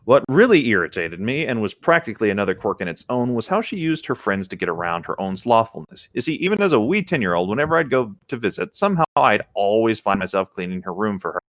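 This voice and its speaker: A man's voice: lowish voice, sing-song, fast talker, hardly any pauses, fairly bright tone, no room sound. A man co-hosting a casual reading of a story, adding chatty asides as he goes. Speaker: co-host reading a story aloud with commentary.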